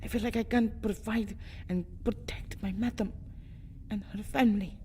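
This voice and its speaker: A woman playing a proud, distraught, centuries-old vampire girl in an ASMR roleplay, theatrical, moving between breathy whispers and agitated speech. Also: medium pitch, fairly even intonation, moderate pace, warm tone, a little hiss or hum, close to an everyday voice. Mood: anguished, helpless. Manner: theatrical, often dropping into breathy whispers, swelling into agitated outbursts. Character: anguished two-hundred-year-old vampire girl, proud, fiercely protective, distraught. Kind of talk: ASMR roleplay monologue, melodramatic acting.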